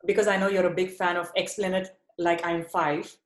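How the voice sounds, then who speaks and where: A woman from India with an Indian accent, hosting a podcast interview and leading rapid questions with thanks. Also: fairly low pitch, little pitch movement, reverberant. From Chennai, India. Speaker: podcast host.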